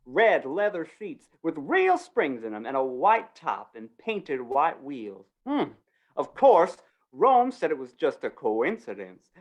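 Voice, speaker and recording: An actor playing a gossipy small-town undertaker and justice in an old-time western radio drama, with drawling rural talk. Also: mid-range voice.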